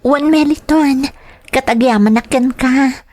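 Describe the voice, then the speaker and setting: A woman as a high-voiced clingy young mistress. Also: measured pace, a little mellow. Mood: pleading, tender. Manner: pleading, wheedling. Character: clingy, demanding young mistress of a married man. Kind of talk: melodramatic audio drama acting.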